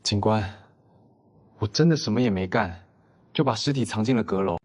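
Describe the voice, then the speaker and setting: A man's voice: fairly low pitch, normal speed, darkish tone, natural voice. A man acting out dialogue in an audio drama.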